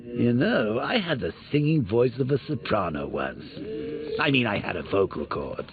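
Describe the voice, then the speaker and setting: An actor playing a taunting, manic male clown villain in a video game, with a gravelly, theatrical voice that swings between whispers and shouts. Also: medium pitch, very animated, moderate pace, background noise. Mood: mocking. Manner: mocking, sing-song cruelty. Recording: tinny lo-fi audio with music underneath.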